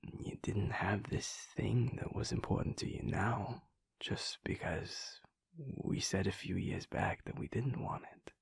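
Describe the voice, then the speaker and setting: Man acting a whispery, gravelly, devoted boyfriend. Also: lowish voice, no echo, heavily acted. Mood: hesitant, gentle. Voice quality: breathy, gravelly. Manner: hushed, halting, heartfelt, soft-spoken. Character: devoted werewolf boyfriend, tender, earnest adult man. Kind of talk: intimate boyfriend audio roleplay.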